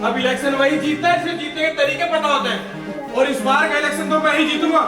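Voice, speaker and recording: A man's voice: extremely high pitch, steady tone, a little fast. An actor playing a menacing, power-hungry village strongman politician on stage, with a domineering, rhetorical way of talking. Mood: commanding. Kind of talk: projected stage acting. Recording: distant echoey theatre hall with music.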